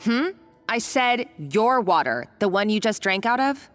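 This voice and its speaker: A woman's voice: medium pitch, big pitch swings, unhurried, faint music behind. A woman playing a domineering, gloating female villain in a video game, speaking with taunting condescension. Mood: imperious. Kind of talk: anime-style video game acting.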